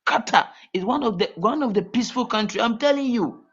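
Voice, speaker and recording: A man from Ghana with a Ghanaian accent, talking as a guest in a casual call-in interview, halting and repeating his words. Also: very high-pitched, animated, a bit bright, husky. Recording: muffled lo-fi call audio.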